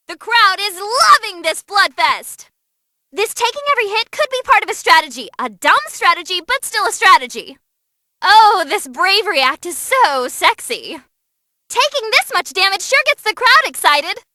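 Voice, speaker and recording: A woman's voice: very high voice, very animated, normal speed, sharp tone, no echo, full character voice. A woman playing a perky, bloodthirsty, non-human fight announcer in a game-style performance, theatrical and playful. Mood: wry commentary. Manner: gleeful, dramatic, playful. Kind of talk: excited ringside fight commentary.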